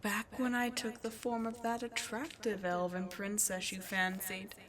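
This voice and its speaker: A woman voicing an immortal demon queen in an ASMR roleplay, imperious, seductive and cruel, speaking deliberately and often breathily. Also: medium pitch, little pitch movement, close to an everyday voice. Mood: seductive, taunting. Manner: slow, deliberate, haughty, often breathy and whispered. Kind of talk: ASMR roleplay villain monologue.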